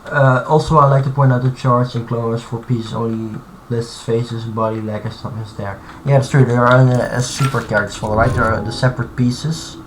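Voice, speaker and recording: A man streaming live from his computer, talking through his modding in a halting, thinking-aloud way full of restarts. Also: mid-range voice, flat delivery, rough recording. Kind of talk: casual live-stream commentary while modding. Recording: clear background noise and typing.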